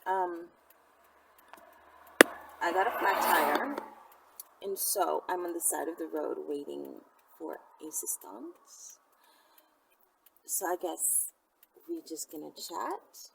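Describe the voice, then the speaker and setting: A woman's voice: fairly low pitch, gravelly. A middle-aged woman chatting casually to camera as she answers viewers, talking in a rambling way with frequent asides. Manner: rambling, conversational, trailing into asides. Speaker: vlogger answering viewers' questions. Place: outdoors or in a vehicle at the roadside. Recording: distant mic, loud vehicle and ambient noise. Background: loud vehicle noise.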